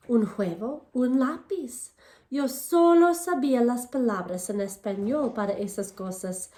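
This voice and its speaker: A woman teacher reading a picture book aloud to children in English and then Spanish, pausing to ask them questions. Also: a little high. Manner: expressive storytelling, asks children questions. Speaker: elementary school teacher. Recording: echoey room.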